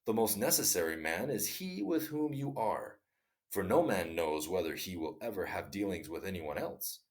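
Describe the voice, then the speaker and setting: A man's voice: low-pitched, expressive. A man voicing an old male hermit who patiently explains a moral lesson in a read-aloud story. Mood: instructive. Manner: patient, instructive, measured storybook reading.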